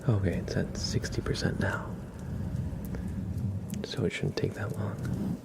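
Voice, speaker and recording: A man with a low, gravelly voice that keeps slipping into a whisper. He talks casually to viewers as an ASMR livestream host, murmuring gently and without hurry. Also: steady tone, unhurried. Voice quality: soft, mostly whispered, breathy low voice.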